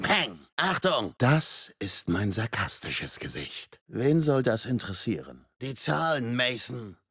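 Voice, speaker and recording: Gravelly, menacing masked slasher. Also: male voice, medium pitch, fairly bright tone, no echo, studio quality, full character voice. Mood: taunting. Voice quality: gravelly, husky. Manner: mocking, menacing, drawn-out taunts. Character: masked slasher killer, male, taunting, menacing and sarcastic. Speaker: video game character. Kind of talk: game combat voice lines in German dub.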